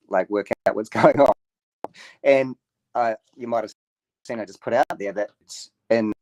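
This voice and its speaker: A man guesting on a sports podcast over a remote call, rambling and halting as he reels off stats. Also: lowish voice, darkish tone, no echo, low-quality recording. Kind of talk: casual sports podcast chat. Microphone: remote call mic.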